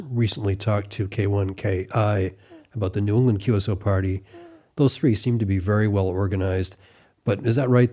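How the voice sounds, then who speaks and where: A man's voice: on the low side, warm tone. A man hosting a conversational interview, asking long, curious questions. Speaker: podcast host, interviewer.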